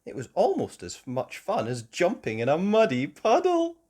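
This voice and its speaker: Very animated woman reading a children's story. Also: on the low side, husky. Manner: expressive, measured storytelling. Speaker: storybook narrator. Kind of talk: children's story read aloud.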